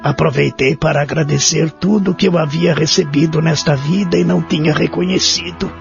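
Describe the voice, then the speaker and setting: A man's voice: on the high side, steady tone, fairly quick, gravelly. A man playing a lonely, tearful old man in an audio drama, speaking with a Brazilian accent in an earnest, emotional way. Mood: sorrowful recollection. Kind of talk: audio drama acting and first-person narration. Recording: dull lo-fi audio under music.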